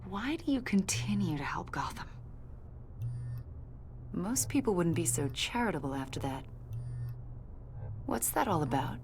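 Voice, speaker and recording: An actress playing a confident government agent in a video game, questioning in a pointed, insinuating and accusatory way. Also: female voice, lowish voice, big pitch swings, unhurried, pauses often, a little mellow, a little hiss or hum. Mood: probing. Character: probing female government agent, confident, accusatory, smoothly needling. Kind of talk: video game dialogue acting.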